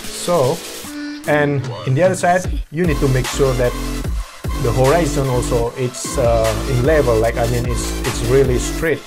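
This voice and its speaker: A young man whose first language is Indonesian, talking through his editing in a casual, halting home tutorial, often correcting himself. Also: mid-range voice, steady tone, music in the background. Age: young adult. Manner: halting, self-correcting, apologetic. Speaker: drone video creator. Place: small room at home, washing machine running.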